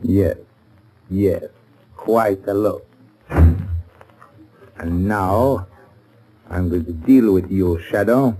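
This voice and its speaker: A man's voice: low voice, drawn-out words, pauses often, dark tone, full character voice, poor audio. A man acting a ruthless, scheming gang boss in a 1930s radio drama, commanding, sneering and menacing. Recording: old 1930s radio broadcast.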